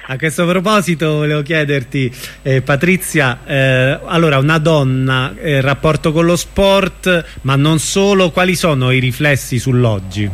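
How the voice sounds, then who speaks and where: A man's voice: a little high, unhurried, few pauses. A man hosting an informal radio interview, putting questions to his guest in a conversational way.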